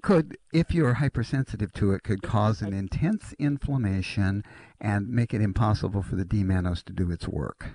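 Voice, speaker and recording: A man's voice: lowish voice, normal speed, dark tone. A man giving natural-medicine advice to a caller on a call-in show, explaining earnestly and politely.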